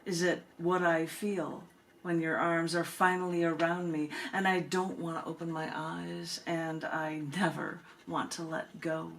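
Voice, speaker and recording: Woman, deep register, poet reciting, deliberate phrasing, dramatic pauses, slight background noise. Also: flat delivery. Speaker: poet reading own work, host of the reading. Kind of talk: spoken-word poetry reading.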